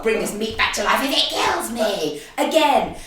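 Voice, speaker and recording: A woman's voice: a little high, expressive, moderate pace, pitched above the performer's own voice, natural voice. A woman putting on a frantic, bossy emergency room doctor from a medical drama, urgently barking orders. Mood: frantic, commanding. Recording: roomy echo, distant mic, faint noise.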